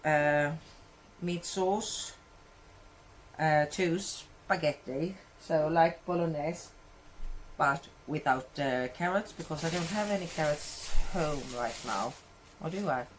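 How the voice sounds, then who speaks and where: A woman's voice: low-pitched, slow pace, a little hiss or hum. A woman from Sweden with a Swedish accent, chatting casually about her cooking in a home kitchen, rambling and correcting herself as she goes. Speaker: cooking vlogger.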